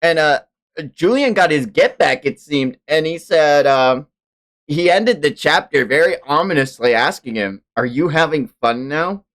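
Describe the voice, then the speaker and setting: A man's voice: fairly high pitch, lively intonation, measured pace, a bit bright. A man hosting a casual livestream chat about manga, talking in hyperbolic fan slang and swearing often. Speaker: stream host.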